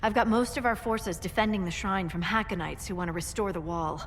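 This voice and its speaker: Wry, practical scout officer played by a woman, game cutscene, plain-spoken, middle pitch, long reverb and faint rumble. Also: brisk, lightly acted. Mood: wry, businesslike. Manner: plain-spoken, matter-of-fact. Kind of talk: video game dialogue.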